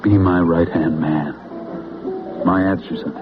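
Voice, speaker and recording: A muffled old radio drama with music plays, and in it an intense American political zealot with a low voice. He is sure of his destiny and presses his case with grandiose, persuasive weight and deliberate pauses. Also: fairly even intonation. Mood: insistent, confident.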